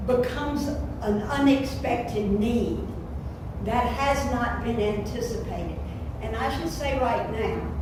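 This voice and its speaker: An older woman, a parishioner, giving a firm, measured prepared statement at a church meeting. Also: fairly high pitch. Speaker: parishioner asking questions. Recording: echoey hall, distant mic, noise.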